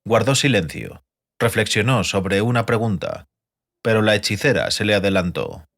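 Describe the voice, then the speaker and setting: A man's voice: lowish voice, animated, moderate pace, a bit bright. A man narrating a fantasy audiobook as a scripted storyteller.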